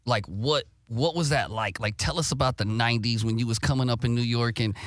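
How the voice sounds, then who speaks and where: A man hosting a podcast in a studio, who asks loose, halting questions full of "like" and "uh". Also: mid-range voice, dry recording. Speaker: podcast host. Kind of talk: casual interviewing.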